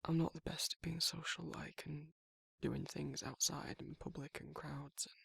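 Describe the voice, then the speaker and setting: A man playing a shy, protective werewolf in a romantic ASMR roleplay, speaking haltingly and reassuringly in a gravelly, husky voice. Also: a little high, flat delivery, dry recording, exaggerated voice. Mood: shy, hesitant. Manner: halting, awkward, softly reassuring. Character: shy, protective, tender young male werewolf in love. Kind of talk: romantic ASMR audio roleplay, intimate and conversational.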